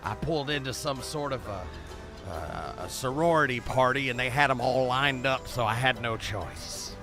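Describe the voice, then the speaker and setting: A folksy middle-aged trucker played by a man who rambles along, jovial and reckless. His voice sits at a middle pitch in this comedy radio play, with music playing clearly behind him. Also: moderate pace, close to an everyday voice. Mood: boastful, carefree. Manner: folksy drawling talk, rambling and carefree. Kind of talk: improvised comedy radio drama. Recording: music under the voice.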